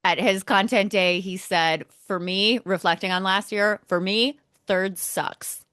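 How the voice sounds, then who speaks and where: A woman's voice: medium pitch, fairly even intonation, unhurried, fairly bright tone, clear, resonant tone, no room sound, studio quality. A woman co-hosting a motorsport show in casual discussion, speaking in an opinionated, emphatic way.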